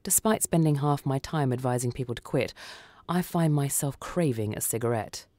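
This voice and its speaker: Storyteller reading a tense short story out loud, mid pitch, real dry close sound. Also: moderate pace, no room sound. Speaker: story reader. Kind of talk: dramatic first-person short story narration.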